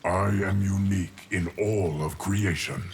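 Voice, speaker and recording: An actor playing a calm, solemn immortal guardian in a video game, speaking in a measured, formal, stern way. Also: male voice, sits low, heavy background noise. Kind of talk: video game character dialogue.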